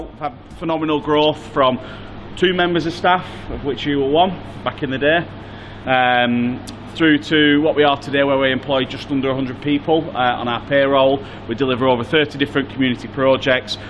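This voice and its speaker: A man's voice: mid-range voice, fairly bright tone, slight background noise. A man, a business executive, giving informative interview answers.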